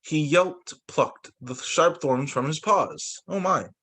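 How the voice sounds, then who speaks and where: A man's voice: a little high, animated, slow, pushed and bright, slightly rough. A man reading a children's story aloud as a teacher, expressively, with exclamations and little asides of his own. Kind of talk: animated picture-book read-aloud with asides.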